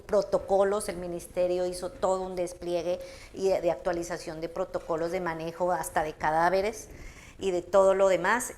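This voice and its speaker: A woman, an author, explaining patiently to an audience and often checking in with a "¿no?". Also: medium pitch. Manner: measured, explanatory, frequent "¿no?" tags. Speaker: book author in a public talk. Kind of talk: explanatory talk answering audience.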